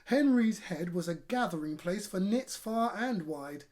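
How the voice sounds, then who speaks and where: Animated male children's storyteller, encouraging. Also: sits high, measured pace. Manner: encouraging, addresses young listeners directly. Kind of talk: livestreamed storytime talk and lively story narration.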